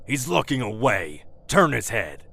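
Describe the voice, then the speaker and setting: A man's voice: medium pitch, big pitch swings, unhurried, creaky, pushed and bright, breathy edge, exaggerated voice. A man in an audio drama playing a cruel, commanding captor full of menace. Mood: cold menace.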